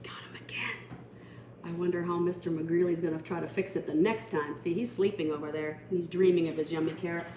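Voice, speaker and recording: Expressive woman reading storytime, echoey room. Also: on the low side. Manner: explanatory, expressive, pausing to define words. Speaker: storytime reader. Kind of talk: children's picture-book read-aloud with asides. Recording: echoey room with faint noise.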